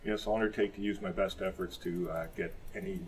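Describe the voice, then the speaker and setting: Plaintiff's counsel, played by a male actor, low-pitched, measured and protective, legal dramatization. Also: fairly even intonation, natural voice. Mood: guarded, formal. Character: measured male plaintiff's lawyer guarding his client.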